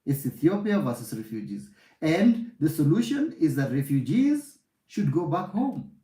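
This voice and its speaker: A man hosts a heated online discussion. His voice is mellow and warm, with lively pitch that rises and falls. He keeps order calmly, and the audio carries a roomy echo. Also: moderate pace. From Kenya. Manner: calm, steering others to take turns. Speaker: discussion host.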